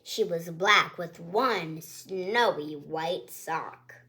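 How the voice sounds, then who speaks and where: Very slow, cheerful woman reading aloud. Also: lowish voice, bright, forceful tone. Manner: cheerful, chatty, upbeat. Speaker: children's book reader, channel host. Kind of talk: reading a picture book aloud to kids.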